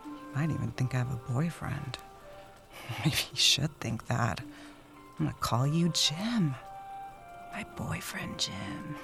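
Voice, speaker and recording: A woman's voice: low-pitched, gravelly, exaggerated voice. A woman playing a restless young wife who whispers wry confessions into a recorder. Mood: secretive. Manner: hushed confiding whisper with wry laughs. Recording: music playing under the voice.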